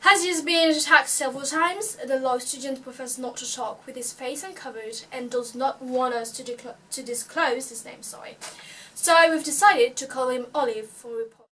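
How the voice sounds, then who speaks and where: A woman with a high voice hosts a parody news segment, chatty and casual with plenty of fillers. She sounds a little far from the mic, with faint noise behind her. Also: normal speed, a bit bright. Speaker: news presenter.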